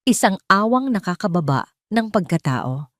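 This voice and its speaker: A woman's voice: mid-range voice, sing-song, normal speed, no room sound, clean studio sound. A woman reading a scripted, dramatic story as narrator.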